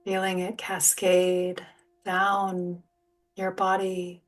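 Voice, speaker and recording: A woman leading a guided relaxation as a yoga teacher, speaking in a soothing, gentle, unhurried manner. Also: lowish voice, monotone. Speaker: yoga nidra meditation guide. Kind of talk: guided meditation, soft spoken instruction. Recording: distant mic, music behind. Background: soft music or sound bowls.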